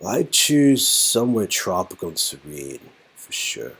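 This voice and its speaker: Romantic game lead read by a man: young guy, low slightly rough voice, earnest, teasing, emphatic delivery. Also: sing-song, a little slow, fairly bright tone, exaggerated voice. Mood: playful. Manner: encouraging, playful, emphatic. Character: earnest, teasing young man comforting his love interest.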